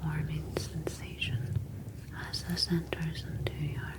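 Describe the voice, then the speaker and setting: A woman playing a calm, clinical ocean alien scientist in an ASMR roleplay, speaking softly and deliberately to reassure a patient. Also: low-pitched. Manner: soft, slow, deliberate, reassuring.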